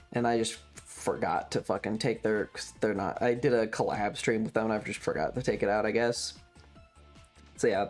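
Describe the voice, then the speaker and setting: A video game streamer chatting casually in a rambling, blunt way that is peppered with swearing. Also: mid-range voice. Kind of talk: casual livestream commentary.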